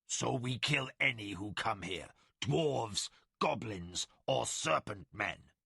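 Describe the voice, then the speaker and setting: A man doing a slow, snarling tiger-man chief. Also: medium pitch, animated, creaky, bright, forceful tone, slightly rough, no echo, clean studio sound, exaggerated voice. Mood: fierce, wary. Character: tall, proud male tiger-man warrior chief.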